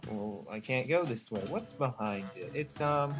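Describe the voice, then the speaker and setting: A man's voice: medium pitch, lively intonation, measured pace. Laid-back man gaming, music behind. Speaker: gamer, video host. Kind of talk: casual game playthrough commentary. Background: game music.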